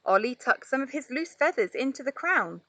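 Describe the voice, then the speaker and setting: A woman narrates a children's story expressively. Her voice is bright and on the high side, and the recording is dry with very little room sound. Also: big pitch swings, moderate pace, no echo. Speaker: storybook reader.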